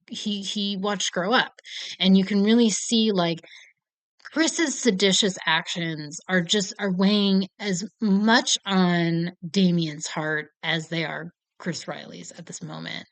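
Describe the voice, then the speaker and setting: A woman's voice: medium pitch, a bit bright, clear, resonant tone, no room sound. A young American woman chatting casually about films, earnest and playful, with many fillers. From United States. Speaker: film reviewer. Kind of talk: casual film commentary.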